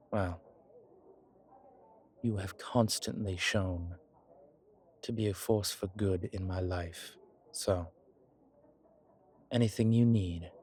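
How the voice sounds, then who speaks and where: Deep, slow-talking old vampire gentleman played by a man. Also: slightly rough, heavily acted. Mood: sincere, grateful. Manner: theatrical, formal, hesitant pauses, soft chuckles. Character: old male vampire, vain, dramatic, genteel, grateful, rueful. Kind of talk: intimate roleplay audio drama monologue.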